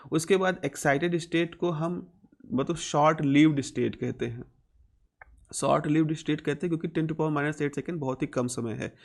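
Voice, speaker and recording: A man with an Indian accent lecturing as a physics teacher, explaining step by step and repeating key phrases. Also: a little high.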